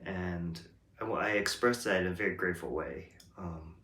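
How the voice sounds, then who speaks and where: A man's voice: low voice, moderate pace, pushed and bright. A man whose first language is English, hosting a reflective casual monologue, talking hesitantly with many ums and restarts. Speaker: host. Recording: roomy echo.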